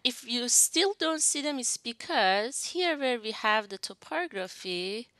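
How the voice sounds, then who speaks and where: A young adult woman teaching a screen-recorded software tutorial, walking through each step and thinking aloud as she works at a desk. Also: on the high side, animated, a little slow, dry recording. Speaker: instructor. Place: indoors at a desk.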